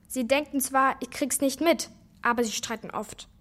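Young girl, high voice, blunt, confident, loyal know-it-all best friend for a children's radio play. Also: normal speed, a bit bright. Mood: curious, frank. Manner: blunt, matter-of-fact, confident.

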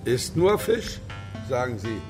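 A man playing a mildly grumpy but soft-hearted older gentleman in a children's radio play, plain and laconic. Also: medium pitch, sing-song, takes it slowly. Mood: hesitant. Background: music.

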